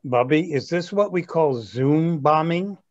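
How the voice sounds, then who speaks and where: Dull audio, man acting eager, self-centered young grandson, lively swinging intonation, comedy read. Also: fairly high pitch, unhurried. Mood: puzzled, curious. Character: earnest, self-absorbed twenty-three-year-old grandson. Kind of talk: reader's theatre comedy.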